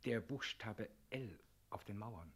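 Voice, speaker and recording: A male actor in a German radio play, playing a grim, morosely obedient prison warder who reports in a blunt, deadpan way. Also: fairly low pitch, takes it slowly, breathy edge. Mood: dutiful, complaining.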